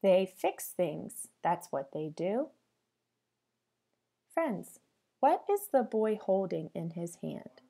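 Female teacher for children's read-aloud: mellow tone, lively sing-song intonation, addresses kids directly with questions. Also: on the low side, slow pace, gravelly, long pauses. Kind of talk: interactive storybook read-aloud for young children.

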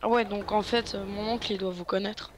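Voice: medium pitch, steady tone, fairly quick. Playful, rambling co-player with slight hiss. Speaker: guest co-player. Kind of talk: casual co-op gameplay chat.

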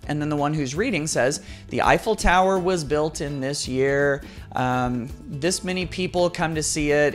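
A man's voice: on the high side, fairly bright tone. A middle-aged American man giving a casual, conversational tutorial, explaining things step by step like an educator. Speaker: educator, tutorial video host. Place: small indoor home office at a desk. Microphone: desktop microphone close in front. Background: background guitar music.

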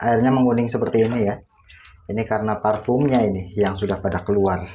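A man's voice: fairly low pitch, fairly even intonation, moderate pace, mellow, muffled recording, slight background noise. A man, casually explaining step by step while working at home. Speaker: laundry tutorial host. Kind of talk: casual how-to demonstration. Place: home laundry area, indoors.

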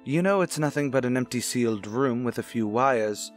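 An actor playing a stern, brooding widower in an old-time radio drama, speaking formally and deliberately. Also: male voice, medium pitch, expressive, soft background music, natural voice. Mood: grave, sorrowful. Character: stern, brooding widowed father with a morbid past.

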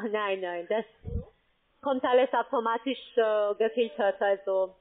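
A woman's voice: mid-range voice, slow pace. A woman working as a call center agent, speaking German with broken grammar and pushing insistently. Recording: tinny phone line.

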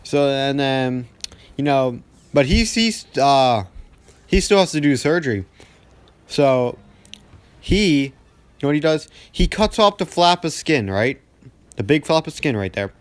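Young male gaming storyteller, mid-pitched, slangy and rambling, dry close recording. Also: measured pace, pauses often, no echo. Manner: rambling, heavy slang, animated retelling with mimicked dialogue. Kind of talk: casual storytelling over gameplay, slangy.

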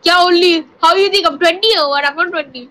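A young woman with an Indian English accent chatting casually on a video call, soft-spoken, dry and amused. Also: extremely high pitch, rapid-fire.

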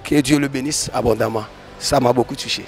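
A man's voice: mid-range voice, steady tone, fairly quick, faint music behind. A middle-aged man giving an interview testimony into a handheld microphone in a large hall. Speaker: convention attendee.

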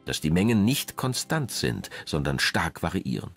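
Male documentary narrator, low register with lively intonation, measured and explanatory, over background music. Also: gravelly. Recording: music under the voice.

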